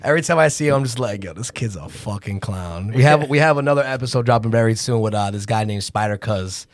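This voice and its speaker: A man's voice: on the low side, fast. Profane, slangy podcast host man. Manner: loose, slangy and profane, with many "like"s and restarts. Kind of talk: casual chat.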